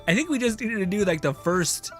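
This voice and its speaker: Higher-voiced sweary male game streamer. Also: lively intonation, fairly quick, fairly bright tone, faint music behind. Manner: sweary, exasperated ranting. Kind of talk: casual livestream chat.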